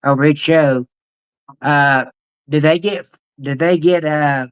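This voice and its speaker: A man hosting a casual interview over a video call, asking questions in a halting way with many restarts. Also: fairly high pitch, steady tone, clear, resonant tone. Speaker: interview host.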